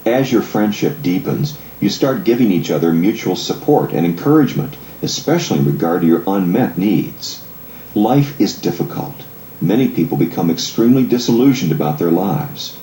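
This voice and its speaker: A man reading scripted audiobook narration in a measured, articulate, explanatory way. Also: sits low, very animated, warm tone, roomy sound, slight background noise, low-quality recording.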